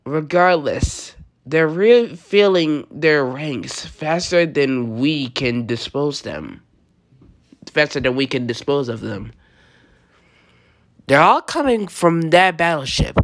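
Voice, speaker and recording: A woman voicing a formal, reserved cat princess in an amateur comic dub, speaking politely and with measured composure. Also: low-pitched, expressive. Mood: grave.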